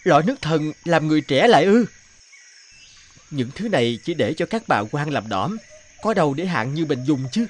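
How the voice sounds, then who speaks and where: A man voicing an earnest poor young farmer in an animated folk tale, full of exclamations and indignant questions. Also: on the high side, normal speed. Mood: surprised.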